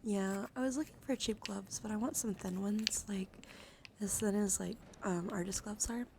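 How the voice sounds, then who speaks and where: A woman streams ASMR in a mid-pitched voice that slips between breathy whispering and soft talk. Her delivery is intimate, soothing and encouraging. Clear background noise from the room sits behind her. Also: fairly even intonation, normal speed. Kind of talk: ASMR, whispered and softly spoken reassurance. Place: small warm room with computers running. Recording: noisy room ambience behind voice.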